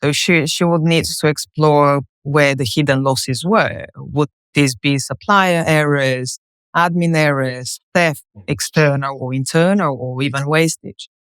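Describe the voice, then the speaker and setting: A woman with a Bulgarian accent speaks as an interview guest, telling her story conversationally. Her voice is smooth and clear, recorded very dry. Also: low voice, a little slow, dry recording. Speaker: podcast guest, company co-founder.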